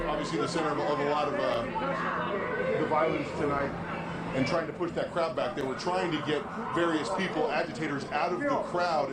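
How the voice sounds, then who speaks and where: A male reporter talking live on air from a street protest, with many halting pauses. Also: fairly high pitch, roomy sound. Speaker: field news reporter. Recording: distant field broadcast with loud crowd noise.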